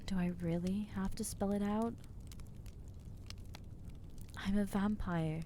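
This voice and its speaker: A woman voicing an anxious, guilt-ridden young female vampire in an ASMR roleplay, speaking haltingly and stammering as she reproaches herself. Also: mid-range voice, fairly even intonation, warm tone, a little hiss or hum, natural voice. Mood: anguished.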